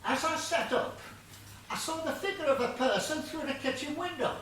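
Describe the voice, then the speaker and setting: Comic stage role: rambling gardener actor, high voice, stammering, earnest, humble, literal-minded; heard in a very reverberant hall. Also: normal speed, fairly bright tone. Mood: eager, earnest. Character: rambling, muddled, literal-minded estate gardener being questioned. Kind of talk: comic stage play acting. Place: stage of a small theatre. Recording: distant echoey theatre recording, faint noise.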